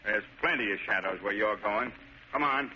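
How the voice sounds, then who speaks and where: A man playing a gruff, brusque lawman in an old radio crime drama, with slangy, barking delivery. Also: on the low side, normal speed, bright, forceful tone, a little hiss or hum. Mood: brusque, mocking. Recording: dull, lo-fi old radio recording.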